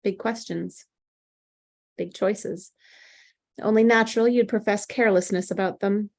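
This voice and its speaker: A woman playing a composed, probing psychiatrist in a screenplay table read, speaking in a deliberate, clinical way. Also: medium pitch, steady tone, natural voice. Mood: calm, authoritative. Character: composed, probing female psychiatrist, coolly authoritative.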